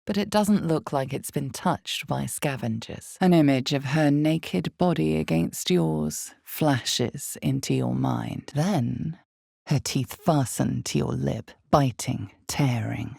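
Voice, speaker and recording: An ominous female storyteller narrates a dark fantasy game in an extremely low voice. Her delivery is slow and deliberate, lingering dramatically on phrases. The sound is dry and close, with no room around it. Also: vocal fry, slightly rough, no echo, exaggerated voice. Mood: ominous, measured.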